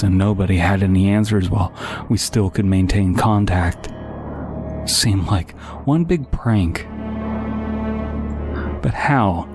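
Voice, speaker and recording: Man narrator, very deep and slow, grave horror reading over music. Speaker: story narrator.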